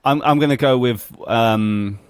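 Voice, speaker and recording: A man's voice: mid-range voice, a little slow, smooth. A man hosting an interview podcast, asking questions in a chatty, meandering way. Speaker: podcast host. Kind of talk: casual interview chat.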